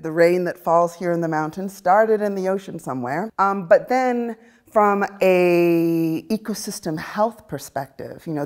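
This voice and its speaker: A woman, a marine conservationist, explaining her work in an interview with frequent laughs. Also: sits low. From Bahamas. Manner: warm, laughs often, explanatory. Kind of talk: interview conversation.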